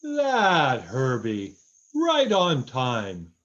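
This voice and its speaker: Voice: mid-range voice, wildly swooping pitch, very slow, full character voice. An actor playing a genial old-time radio show announcer who savors the words of a scripted narration and sponsor plug. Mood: genial, theatrical. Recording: dull lo-fi sound with music behind.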